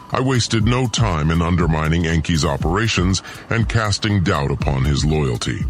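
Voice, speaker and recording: A man voicing an arrogant, scheming alien prince, pompous and sneering, narrating his own audio drama. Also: sits low. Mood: sneering, accusing.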